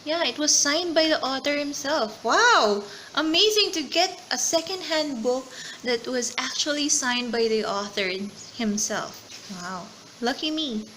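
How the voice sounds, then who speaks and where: High-voiced woman reading aloud, noisy recording. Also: lively intonation, unhurried, bright, forceful tone. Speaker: host of a children's read-aloud channel. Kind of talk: picture book read-aloud with casual chat.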